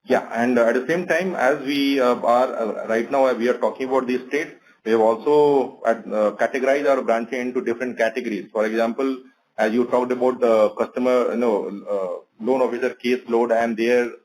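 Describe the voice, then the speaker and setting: Man, Indian accent, bank executive, medium pitch, methodical explanatory answers, conference call. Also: fairly even intonation, normal speed, a little mellow, rough recording.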